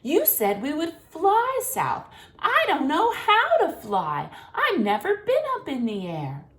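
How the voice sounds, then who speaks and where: A woman's voice: high-pitched, wildly swooping pitch, takes it slowly, fairly bright tone, voice pushed up, exaggerated voice. A woman doing squealing little cygnets. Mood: worried. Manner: squealing, sing-song. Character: excited little cygnets, chattering kids.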